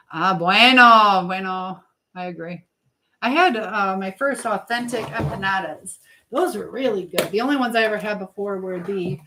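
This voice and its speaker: A woman chatting casually as a livestream host while busy in a home kitchen, rambling informally as she answers viewers. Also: mid-range voice. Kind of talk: casual live chat while baking. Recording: echoey room with clear background noise.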